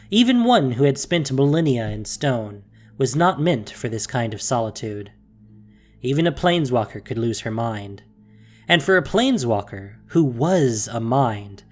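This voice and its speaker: A male narrator delivers scripted dramatic fiction in a mid-range voice. He is solemn and deliberate, pausing for weight, with music quietly underneath. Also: medium pitch.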